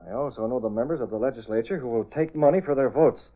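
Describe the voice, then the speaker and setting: A man's voice: medium pitch, fast, natural voice. A man acting a resolute, calculating publisher and secret vigilante in an old radio drama, speaking in emphatic declarations. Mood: confiding, determined. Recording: muffled narrow-band old radio recording.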